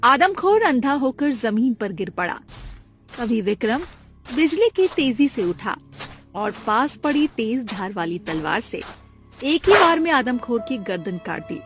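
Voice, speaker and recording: A woman's voice: a little high, sing-song, measured pace, music in the background. A woman narrating a scripted story in a dramatic style.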